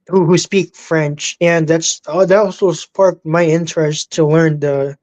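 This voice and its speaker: A woman chatting as a guest on a live stream, halting and repeating words as she starts her sentences. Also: sits low, fairly even intonation, a little mellow. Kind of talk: casual live chat.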